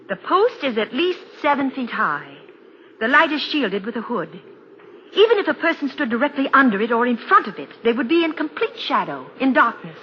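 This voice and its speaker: An actress playing a rational, insistent woman in an old radio drama, articulate, persuasive and dramatic in her delivery. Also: fairly high pitch. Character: rational, insistent woman reasoning with a frightened man. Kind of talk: 1940s radio drama acting and narration. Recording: dull, noisy old radio broadcast.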